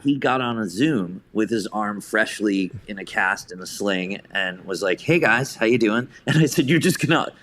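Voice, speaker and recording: A man's voice: fairly low pitch, lively intonation, moderate pace, hardly any pauses, a bit bright. A man speaking as an interview guest, telling casual anecdotes in an enthusiastic, effusive way. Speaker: podcast guest, theatre director.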